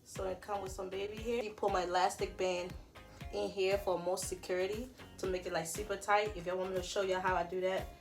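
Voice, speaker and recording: A woman from Liberia, chatting casually through a wig unboxing tutorial and calling her viewers sis and y'all. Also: mid-range voice. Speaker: beauty vlogger.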